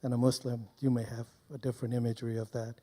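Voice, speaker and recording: An older man from Burma speaks into a close handheld mic in a mellow, dark-toned voice at medium pitch. He gives personal testimony to an audience informally, pausing often and moving in short, deliberate phrases. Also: level tone. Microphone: handheld microphone held close.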